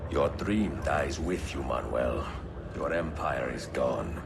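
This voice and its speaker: An actor playing a grim, resolute assassin hero in a video game, speaking in a terse, cold, deliberate way. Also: male voice, rumbling low, creaky, background noise, exaggerated voice. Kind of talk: dramatic video game acting.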